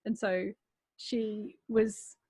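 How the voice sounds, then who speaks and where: Husky woman, candid and wry. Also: medium pitch, little pitch movement, drawn-out words, a little mellow, no room sound. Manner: wry, self-deprecating. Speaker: writer in conversation. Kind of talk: casual, candid anecdotal chat.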